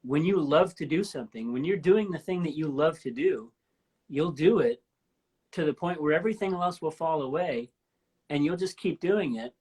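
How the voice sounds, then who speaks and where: A woman in her fifties with a deep voice, hosting a casual live-stream chat and telling stories with enthusiasm. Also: lively intonation, moderate pace, darkish tone, slightly rough. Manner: enthusiastic, rambling storyteller. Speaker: host. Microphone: live stream from home.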